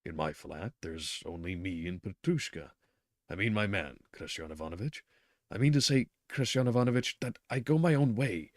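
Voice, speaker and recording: A man's voice: deep voice, lively intonation, moderate pace, no room sound, clean studio sound. A man voicing a timid, awkward middle-aged clerk who speaks hesitantly and stammers. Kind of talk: reading a novel aloud.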